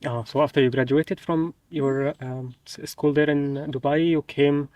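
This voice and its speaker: A man's voice: a little high, fairly even intonation, a little slow, a little mellow, no echo, distant mic. A man hosting a casual interview, speaking conversationally and checking details as he goes. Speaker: host, interviewer.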